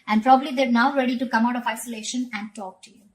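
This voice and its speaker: A woman's voice: a little high, steady tone, fast talker, background noise. A woman giving a conversational tarot reading straight to the viewer, often repeating phrases and checking in with short tag questions. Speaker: tarot reader.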